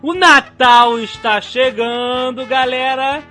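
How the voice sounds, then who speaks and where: Male Brazilian podcast host, very high bright voice, exclamatory delivery, music underneath. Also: steady tone, slow pace. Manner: exclamatory, laughs often. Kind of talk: animated podcast banter, reading listener emails. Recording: music behind the voice. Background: background music.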